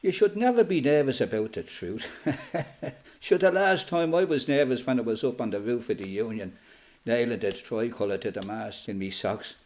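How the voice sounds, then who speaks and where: A man's voice: mid-range voice, animated, normal speed, a little mellow, lightly acted. An actor playing an older Dublin father and former freedom fighter in a radio play, with an Irish accent and a folksy, colloquial way of talking. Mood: easygoing, hospitable. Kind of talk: radio play acting, conversation and reminiscence.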